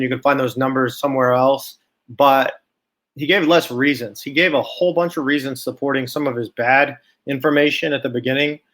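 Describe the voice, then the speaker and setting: A man's voice: medium pitch, fairly bright tone. A man giving informal solo stock market commentary, thinking aloud from notes in a blunt, skeptical, opinionated way.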